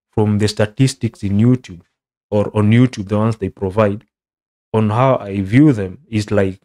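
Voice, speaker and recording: Laid-back young Kenyan man, low mellow voice. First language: not English. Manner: relaxed, says "bro" a lot, rambling. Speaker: content creator. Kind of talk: casual reaction commentary.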